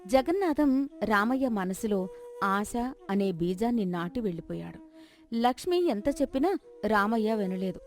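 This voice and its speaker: A woman's voice: medium pitch, animated, moderate pace, faint music behind. A woman narrating a scripted folk story.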